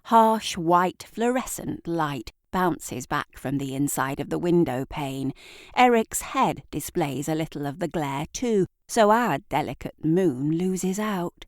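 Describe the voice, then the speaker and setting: A woman reads scripted literary fiction as a theatrical storyteller. Her voice sits a little low and she takes her time, with very measured delivery. The sound is dry and close. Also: expressive, dry recording. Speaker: author and narrator.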